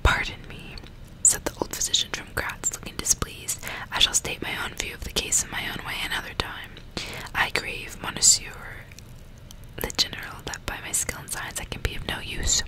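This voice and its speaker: A woman doing an ASMR book reading in a soft, breathy, unvoiced whisper, with deliberate storytelling delivery. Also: rough recording. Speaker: ASMR host reading a novel aloud.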